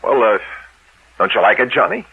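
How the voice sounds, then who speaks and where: An American actor playing an eager, nervous friend in a 1960s radio drama, on a thin old recording. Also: male voice, mid-range voice, sing-song, moderate pace, gravelly, narrow, tinny audio, a little hiss or hum. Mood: eager, awkward. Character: eager, nervous male friend giving a gift.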